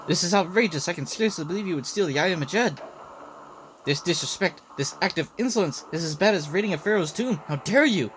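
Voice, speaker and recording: A man's voice: high-pitched, expressive, fairly quick, a bit bright, slight background noise, rough recording. A man voicing a haughty female pharaoh from a game in an imperious, declamatory manner. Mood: indignant. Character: haughty, indignant female pharaoh guarding her treasure.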